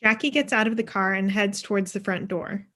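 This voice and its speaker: Female narrator, young adult, mid pitch, reading stage directions for a script table read. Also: brisk, fairly bright tone. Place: video call from home.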